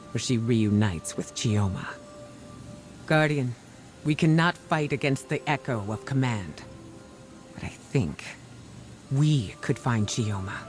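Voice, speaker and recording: Earnest mid-pitched actor as a resolute commander. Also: male voice, darkish tone, natural voice. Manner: earnest, serious, deliberate. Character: resolute, weary male commander briefing a soldier. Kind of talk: scripted game briefing. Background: music.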